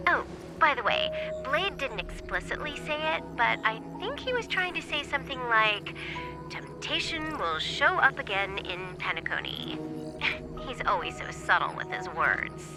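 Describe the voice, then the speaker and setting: Playful young hacker for a game: wry, casual, highly animated delivery over music. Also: female voice, unhurried, exaggerated voice, low-quality recording. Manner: teasing, nonchalant. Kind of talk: video game voice acting. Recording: music behind the voice. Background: background music.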